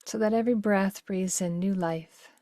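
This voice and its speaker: A woman leading a guided meditation in a gentle, unhurried, soothing way, with phrases that soften into breathiness. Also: medium pitch, dry recording, clean studio sound.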